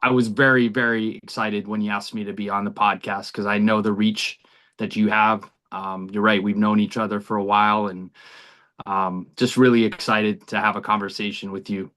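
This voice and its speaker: Earnest, low-voiced male baseball coach. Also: flat delivery, normal speed, pushed and bright. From United States, near Boston. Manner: earnest, reflective, many ums and you knows. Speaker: college baseball head coach. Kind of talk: interview guest.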